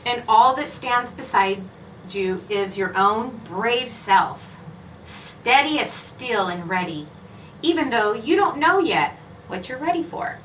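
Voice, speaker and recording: Bright-voiced woman reading a storybook aloud. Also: a little high, lively intonation, slow pace. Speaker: education director reading a picture book aloud. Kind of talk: children's storybook read-aloud. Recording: roomy, echoing, distant mic, faint noise.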